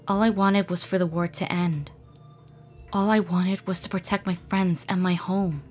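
A woman voicing a melancholy, guarded young female alien water gem in a cartoon dub, wistful and halting. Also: fairly low pitch, steady tone, a little mellow, soft background music, lightly acted. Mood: regretful. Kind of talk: dramatic cartoon comic dub.

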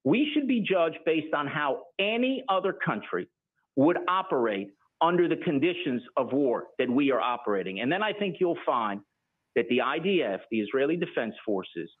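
Middle-aged American male guest, deliberate arguer, tinny phone-like audio. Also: a little high, very animated. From United States. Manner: deliberate, argumentative, rhetorical questions. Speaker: political guest. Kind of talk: measured political argument in interview. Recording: tinny broadcast audio re-recorded.

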